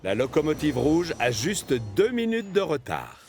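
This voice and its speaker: Un homme narrant un dessin animé pour enfants, avec un ton de conte animé. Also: male voice, a little high, huge pitch swings, measured pace, a little mellow. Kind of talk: scripted storybook narration. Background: music.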